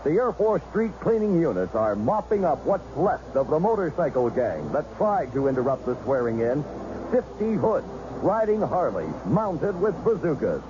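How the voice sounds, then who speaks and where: An actor playing an excitable live inauguration commentator with a dramatic sportscaster delivery in a satirical radio play. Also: male voice, fairly high pitch, moderate pace. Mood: solemn, reporting. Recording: muffled radio sound, heavy street noise.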